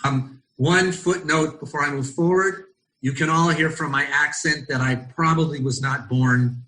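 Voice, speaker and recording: A middle-aged American man from Los Angeles, a rabbi leading an online study session over a video call, speaking thoughtfully and often pausing with ums as he searches for words. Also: a little high, steady tone, bright, forceful tone, poor audio. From Los Angeles, United States. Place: at home in Israel.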